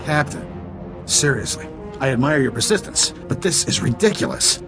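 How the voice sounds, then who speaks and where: A man's voice: mid-range voice, wildly swooping pitch, moderate pace, creaky, over music. A man playing a smug, taunting, roguish smuggler villain in a video game cutscene. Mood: mocking. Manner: glib, mocking.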